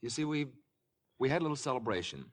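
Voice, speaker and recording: Flustered professor with swooping pitch, acted by a man. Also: lively intonation, natural voice. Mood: sheepish, flustered. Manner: halting, apologetic, fussy. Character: flustered, apologetic, bumbling male college professor. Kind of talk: 1950s radio drama acting.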